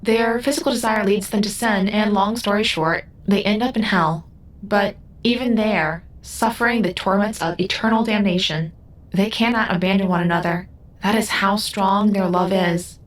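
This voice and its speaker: A woman's voice: medium pitch, steady tone, moderate pace, natural voice, poor audio. A woman playing a young otherworldly female guide in an audio drama, solemn, sad and a little wry. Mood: solemn, explanatory.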